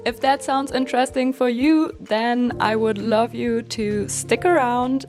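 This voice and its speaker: A woman in her early thirties from Germany, speaking English with a German accent in a casual, open, chatty vlog to camera. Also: fairly high pitch. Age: early 30s. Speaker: vlogger.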